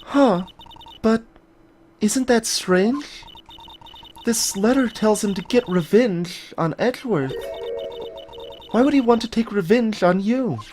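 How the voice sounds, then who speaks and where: A woman's voice: medium pitch, expressive, slow pace, long pauses, darkish tone, slight background noise. A woman voicing an earnest, concerned companion in a mystery game, questioning and hesitant. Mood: puzzled. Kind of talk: voiced reading of game dialogue.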